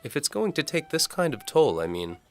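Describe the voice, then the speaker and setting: Man voicing a forward young maid, pitched high. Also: very animated, brisk, soft background music. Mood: concerned.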